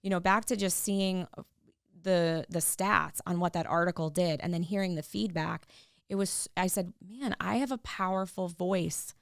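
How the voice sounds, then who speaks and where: A middle-aged woman, a guest on a podcast, talking earnestly and warmly about her work and family. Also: on the low side, moderate pace, creaky, no room sound, studio quality. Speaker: podcast guest, tax professional. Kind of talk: casual interview chat.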